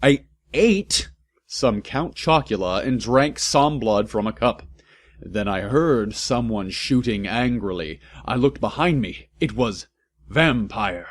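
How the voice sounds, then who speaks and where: A man narrates clumsy fan fiction in a slow, mock-serious delivery. His pitch sits in an ordinary middle range. He reads every mistake as written and drops in comic asides of his own. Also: lively intonation. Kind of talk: comic dramatic reading with asides.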